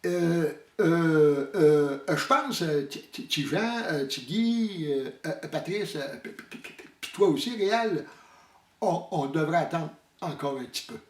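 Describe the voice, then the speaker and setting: A man's voice: a little high, lively intonation, unhurried. A man doing a stammering, hesitant hockey guy. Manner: stutters, repeats names, lots of hesitations. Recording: roomy echo, distant mic.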